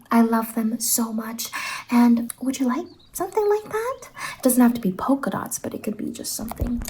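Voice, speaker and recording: A woman playing a quirky, doting fantasy salon worker in an ASMR roleplay, speaking softly and slipping in and out of whispers. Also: on the high side, a little hiss or hum, natural voice, rough recording. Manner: soft-spoken, drifting in and out of whispers. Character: Mazey, quirky doting female fever-dream salon worker.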